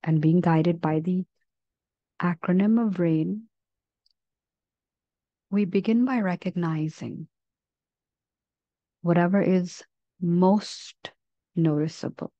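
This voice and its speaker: A woman with a low, mellow voice guides a meditation. Her delivery is gentle and measured, very slow, with long pauses between phrases. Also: dry recording, lo-fi sound.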